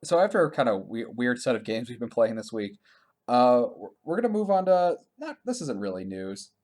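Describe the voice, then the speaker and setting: A man's voice: medium pitch, sing-song, fairly quick, a little mellow. A man co-hosting a podcast, chatting casually about video games in a rambling way and often laughing as he talks. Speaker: podcast co-host.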